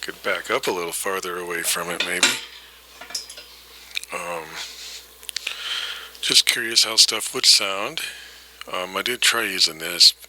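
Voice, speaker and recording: Faint noise sits around a man's low-pitched, very bright and forceful voice. He talks off the cuff like a hobbyist showing his gear, wandering from thought to thought in a tentative, self-deprecating way. It sounds captured on a vintage recorder microphone. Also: measured pace, long pauses. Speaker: hobbyist vlogger demonstrating vintage audio gear. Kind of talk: casual unscripted demonstration, thinking aloud. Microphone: old reel-to-reel recorder microphone. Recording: noisy vintage tape-recorder microphone, hiss.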